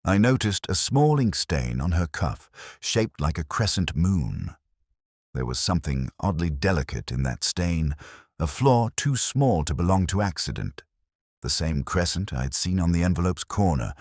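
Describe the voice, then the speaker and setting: A man with an extremely low voice narrates a detective tale in the first person. His delivery is measured and reflective, with expressive rises and falls in pitch. The sound is dry and close, free of room echo. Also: breathy edge. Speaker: audio drama narrator.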